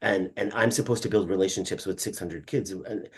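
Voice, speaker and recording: A man hosting a conversation, animated and quick to restart his sentences with repeated words. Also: low-pitched, husky. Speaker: podcast host and trainer. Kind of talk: conversational interview.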